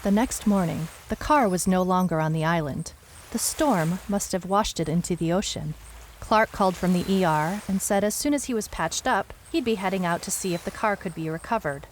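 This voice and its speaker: A woman's voice: lowish voice, normal speed, slight background noise, close to an everyday voice. A woman playing a wry detective who recounts a strange case in a dry, storytelling way, as scripted audio drama narration. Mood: wry, matter-of-fact.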